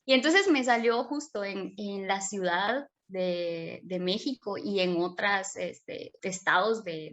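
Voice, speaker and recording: A woman's voice: medium pitch, a bit bright. A woman in her thirties from Guatemala with a Guatemalan Spanish accent, a guest scientist telling her story in a casual, chatty way, explaining terms with many fillers.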